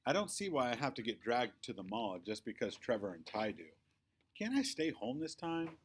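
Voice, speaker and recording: A man voicing a sullen, defiant young boy who tells his own hurts in a children's novel read aloud, expressive and aggrieved. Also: medium pitch, normal speed, a little hiss or hum, close to an everyday voice.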